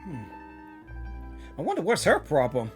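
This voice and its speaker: A woman streamer reacting excitedly to a game story with loud outbursts. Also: low voice, measured pace, soft background music.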